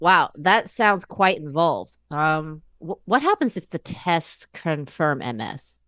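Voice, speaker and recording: A woman acts out a worried female patient in her mid-fifties. Her voice sits a little low but swings expressively as she earnestly describes things in a conversational way. The recording is dry and free of room sound. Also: big pitch swings, slow pace, fairly bright tone, dry recording, full character voice. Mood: concerned. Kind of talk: acted medical consultation for exam practice.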